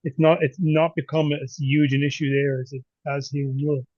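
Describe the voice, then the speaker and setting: A man in his forties hosting a podcast, talking in a relaxed, chatty way from home over a remote call. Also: on the high side, a little fast, dry recording. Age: 40s. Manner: relaxed, chatty, laughs easily. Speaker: podcast host. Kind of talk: casual conversation. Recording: dry, dull lo-fi call audio.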